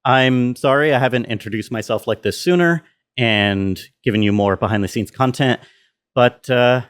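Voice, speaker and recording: A man's voice: mid-range voice, moderate pace, a bit bright, clear, resonant tone. A man giving a casual behind-the-scenes walkthrough as a sound designer, informal and easygoing. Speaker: sound designer of an audio drama. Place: indoor room with air conditioner running. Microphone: self-recording.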